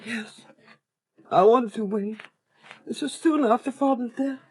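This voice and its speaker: Casting: fragile bereaved daughter, high-pitched, plaintive and halting, vintage radio melodrama. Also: female voice, darkish tone, slightly rough. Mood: grieving, defensive. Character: fragile, grieving, trusting orphaned daughter. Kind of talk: 1930s radio acting. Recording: vintage 1930s radio broadcast.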